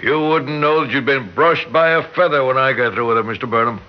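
A man's voice: medium pitch, brisk, faint music behind, natural voice. A man acting in a classic radio drama as a chummy, folksy car repairman with a genial manner. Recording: muffled old radio recording with hiss.

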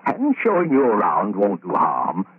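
A thin, band-limited old radio horror recording carries a smug, genteel murderer. His low voice swoops theatrically as he gloats, muttering phrases over again to himself. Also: wildly swooping pitch, unhurried, husky, dry recording, narrow, tinny audio, full character voice. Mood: gleeful, sinister. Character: gloating, genteel madman who murders women for profit.

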